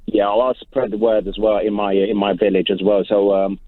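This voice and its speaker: A man's voice: fairly low pitch, flat delivery, moderate pace. A man calling in to a radio show over the telephone, hesitant and full of ums and uhs. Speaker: caller. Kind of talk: phone-in call. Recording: tinny phone line.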